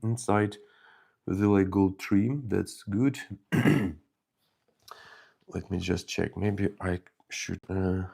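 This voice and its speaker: A deep, gravelly man with a Ukrainian accent talks slowly and softly as he paints, thinking aloud in a halting way. His mellow voice drifts through a relaxed hobby stream. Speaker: miniature painting channel host. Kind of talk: casual live painting chat.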